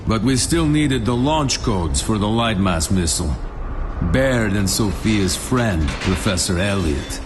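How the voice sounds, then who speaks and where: An actor playing a cocky, wry soldier recounting a mission in a dry, wisecracking way for a video game cutscene, with music over him. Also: male voice, fairly low pitch, expressive, unhurried. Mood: wry, matter-of-fact.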